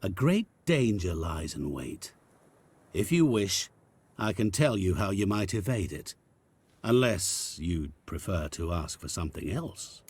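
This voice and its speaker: An actor playing a sly, courteous devil-like merchant of wishes in a video game, speaking in a smooth, genteel, softly menacing way. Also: male voice, sits low, very animated, a little slow, exaggerated voice. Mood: ominous. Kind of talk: video game cutscene dialogue.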